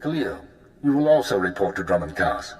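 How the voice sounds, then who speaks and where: An actor playing a formal, commanding intelligence handler who gives orders in measured lines, in a video game cut-scene. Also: male voice, low voice, big pitch swings. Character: brisk senior male intelligence handler. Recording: roomy echo with faint noise.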